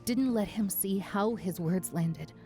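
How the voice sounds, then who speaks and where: A woman's voice: mid-range voice, a little slow, loud music behind the voice, lightly acted. A woman playing a weary, self-conscious but defiant young woman in a first-person audio drama. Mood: wounded, confessional.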